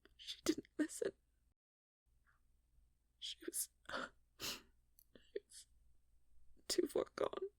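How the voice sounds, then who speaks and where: A woman playing a haunted, determined vampire hunter in an ASMR audio role-play, confessing slowly and haltingly in a breathy, husky whisper, with pain in her delivery. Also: dry recording, clean studio sound. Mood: anguished, tearful dread. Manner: slow, halting, pained confession in short broken phrases.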